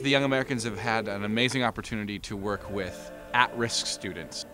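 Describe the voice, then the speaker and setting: A man describing the group's training program in a lively, presenter-like manner. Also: medium pitch. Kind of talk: documentary interview.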